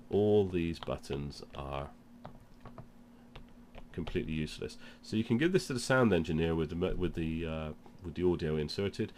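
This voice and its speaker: A man's voice: low voice, normal speed, a little mellow, slight background noise. A man with a cold presents an informal product demonstration, explaining things step by step. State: has a cold. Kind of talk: informal instructional demo.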